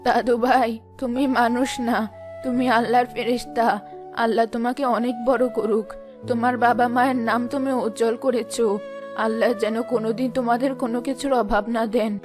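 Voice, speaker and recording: A woman's voice: fairly high pitch, fairly even intonation, brisk, over music, close to an everyday voice. A woman voicing a poor old beggar grandmother in a cartoon, imploring and full of blessings in a plaintive way. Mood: grateful, blessing. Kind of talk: cartoon moral drama dialogue.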